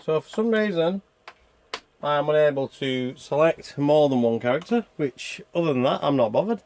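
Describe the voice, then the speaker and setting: Man with a creaky voice, casual game commentary. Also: fairly high pitch, lively intonation, moderate pace, darkish tone. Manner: chatty, thinking aloud with self-questions. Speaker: video game reviewer.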